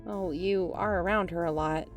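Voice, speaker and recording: A woman's voice: lowish voice, steady tone, normal speed. A woman playing a matter-of-fact, reassuring podcast investigator. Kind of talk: scripted audio drama dialogue. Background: music.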